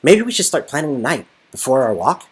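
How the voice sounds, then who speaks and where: A man's voice: mid-range voice, very animated, brisk, slightly rough, pitched above the performer's own voice. A man voicing a laid-back, goofy, affectionate young hero in a game dialogue reading, casual and jokey. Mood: eager.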